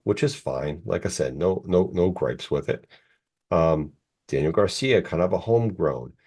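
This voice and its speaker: A man's voice: sits low, darkish tone. A man in his forties co-hosting a podcast in a casual, rambling, opinionated and informal way. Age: 40s. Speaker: podcast co-host. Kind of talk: casual chat.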